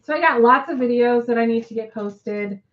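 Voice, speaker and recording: A woman co-hosting a casual live chat, rambling with many ums and restarts, her voice hoarse and nearly gone. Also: a little high, moderate pace, highs cut off.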